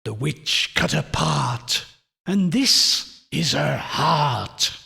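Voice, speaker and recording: A man voicing a solemn, menacing husband in a horror story, intoning slowly in a hushed, ominous way. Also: on the high side, expressive, vocal fry, heavily acted. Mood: hushed menace. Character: husband solemnly intoning a macabre dead-witch game. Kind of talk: dramatic horror story reading. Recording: long cavernous reverb.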